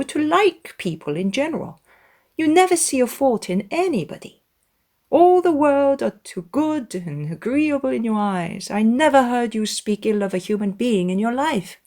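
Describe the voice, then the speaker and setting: Lady doing a sassy witty young lady in an audiobook, teasing, bouncy pitch, sure of herself. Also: a little slow. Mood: teasing, wry. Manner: lively, arch, teasing. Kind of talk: dramatized audiobook character.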